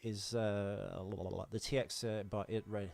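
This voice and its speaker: A man's voice: on the low side, flat delivery, normal speed, vocal fry, darkish tone, no echo. A man co-hosting a film podcast in a casual, jokey, rambling way. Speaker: podcast co-host.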